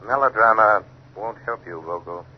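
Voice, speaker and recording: An actor playing a mysterious invisible avenger, calm, deliberate and taunting, in a 1930s radio mystery. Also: male voice, lowish voice, breathy edge. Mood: calm, mocking. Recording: dull 1930s radio recording.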